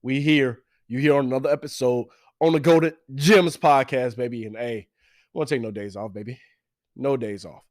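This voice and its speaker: Stuffy, energetic man hosting a podcast. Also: medium pitch, normal speed. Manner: energetic, preachy, repeats phrases with slang. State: stuffy, congested from allergies. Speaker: podcast host. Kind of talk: motivational podcast talk.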